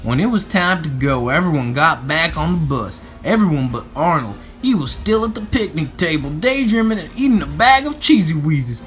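A man reading aloud to children in an expressive, playful storybook style. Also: a little high, normal speed, few pauses, soft background music. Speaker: storybook reader. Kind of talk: animated read-aloud narration for children.

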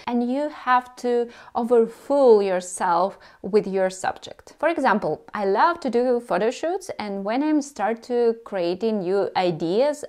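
A woman in her thirties with a Russian accent, a native Russian speaker, talking to camera with tips for dancers and gesturing a lot as she explains. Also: medium pitch. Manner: animated, gesturing with both hands. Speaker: dance teacher. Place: indoor room before a carved screen. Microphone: lapel mic.

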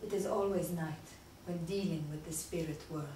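A woman's voice: fairly low pitch, steady tone, moderate pace, dark tone, lightly acted. A woman playing a pompous fake spirit medium who speaks with portentous drama in a comedy sketch. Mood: solemn, portentous. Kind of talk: stage comedy sketch acting. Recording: echoey room, distant mic, faint noise.

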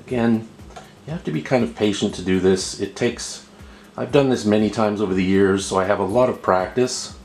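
A man's voice: fairly low pitch, normal speed, a little mellow, faint music behind. A man narrating an unscripted step-by-step tutorial, explaining patiently and repeating himself as he goes. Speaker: host of a how-to video. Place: indoor room at a table. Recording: roomy, echoing indoor voice recording.

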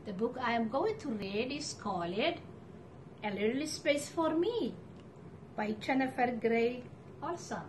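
A middle-aged woman reading a picture book aloud to children, with deliberate, expressive phrasing and pauses. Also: on the high side, a little hiss or hum, low-quality recording. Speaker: storytime reader for children. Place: living room at home, indoors.

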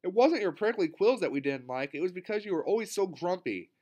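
A man's voice: high-pitched, very animated, fairly quick, darkish tone, highs cut off. A man voicing a plain-spoken, friendly young raccoon classmate in a children's storybook, explaining things frankly.

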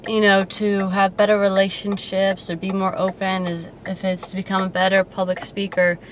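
A woman's voice: medium pitch, monotone, normal speed. A young woman in her twenties with a slight Baltimore accent, chatting casually and upbeat as a fitness vlogger while she drives, filmed from a dashboard camera. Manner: rambling, upbeat, frequent "like" fillers. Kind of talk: casual vlog chat. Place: inside a car while driving. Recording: loud car road noise. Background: car engine and road noise.

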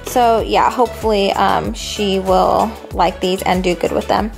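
A woman's voice: medium pitch, steady tone, unhurried, gravelly, faint music behind, low-quality recording. A young adult woman talking casually and informally, in a slangy, conversational vlog style. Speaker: vlogger showing a grocery haul. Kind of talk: casual chatty vlog voice-over.

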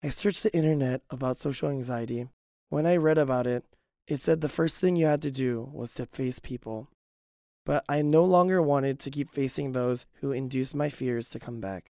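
Male narrator for personal testimony, earnest and measured, gravelly edge, close dry sound. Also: medium pitch, dark tone, no echo.

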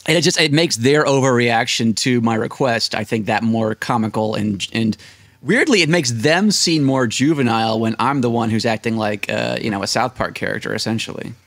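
A man's voice: mid-range voice, moderate pace, a bit bright, no echo. A man in his thirties with an American accent, telling casual anecdotes as an interview guest and acting out what people said. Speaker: podcast guest. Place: small indoor room, seated at desk. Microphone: close desk microphone on video call.

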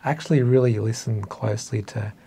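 A man's voice: on the low side, fairly even intonation, a little fast, mellow, a little hiss or hum. An Australian man in his fifties in a casual, reflective chat, speaking hesitantly and thoughtfully, with a self-deprecating way about him. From northern coastal New South Wales, Australia. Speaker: interview guest, author. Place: small indoor meeting room.

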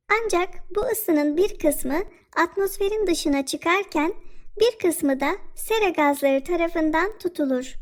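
A woman voicing an eager young schoolgirl granddaughter in a scripted educational cartoon, speaking earnestly like a pupil reciting facts. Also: very high-pitched.

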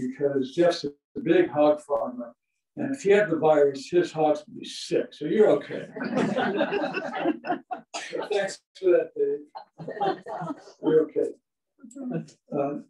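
Older male lecturer, mellow voice, recorded from far off in an echoey room. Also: a little high. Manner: chatty anecdotal storyteller, chuckles often. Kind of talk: storytelling museum lecture. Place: small museum meeting room, indoors. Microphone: far camera, no visible mic.

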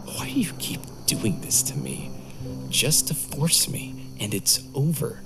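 A man's voice: medium pitch, very animated, a little slow, music in the background. Casting: male actor as seething husband near breaking point, medium register, horror audio play with underscore. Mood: weary, bitter. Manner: intense, embittered. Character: resentful, brooding husband sliding toward madness. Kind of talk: scripted audio horror drama.

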